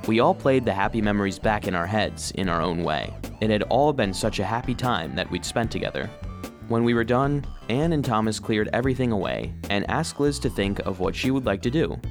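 An eager, earnest robot narrator in a children's audio drama. The voice sits low but moves in lively, expressive swoops, with light music underneath. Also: male voice, moderate pace. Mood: warm, nostalgic. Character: earnest, eager male cleaning robot narrating his own story.

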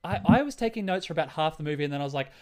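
A man's voice: on the high side, animated, rapid-fire, darkish tone. A man chatting casually as a podcast co-host, in a hesitant, rambling way. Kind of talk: casual chat.

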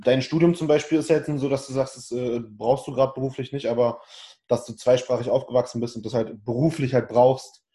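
A man's voice: mid-range voice, steady tone, fairly quick, dark tone. A man hosting a casual interview, asking loose, chatty questions. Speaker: podcast host.

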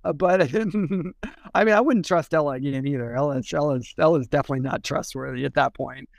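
A man in a casual literary discussion, thinking aloud in a halting way and often repeating and restarting his phrases. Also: a little high, creaky, no echo. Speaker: podcast discussion co-host.

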